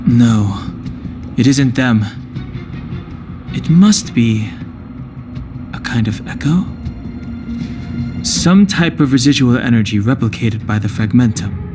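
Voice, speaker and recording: A man's voice: medium pitch, lively intonation, takes it slowly, long pauses, music in the background. A man playing a sensible, cautious young adventurer in a video game, with a serious, analytical and restrained delivery. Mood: calm, analytical. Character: sensible, reserved, cautious young male companion.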